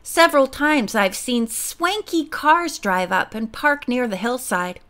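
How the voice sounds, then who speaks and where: A woman's voice: on the high side, lively intonation, unhurried, fairly bright tone. A woman voicing Joanne, an earnest young farm girl who speaks plainly.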